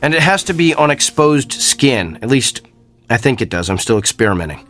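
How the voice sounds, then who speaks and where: An actor playing an earnest male doctor with a strange gift, in a measured, inquisitive radio drama style. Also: medium pitch, very animated, a bit bright. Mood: insistent, assured.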